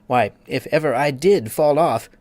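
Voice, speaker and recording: A man's voice: medium pitch, a little mellow, dry recording, pitched above the performer's own voice, close to an everyday voice. A man voicing a pompous, easily offended egg creature who lectures and takes offense. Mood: indignant. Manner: haughty, quick to take offense, lecturing.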